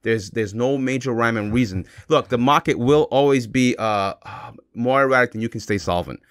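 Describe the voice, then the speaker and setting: A man's voice: medium pitch, moderate pace, no echo, clean studio sound. A man speaking as a podcast guest in a casual debate, blunt and skeptical.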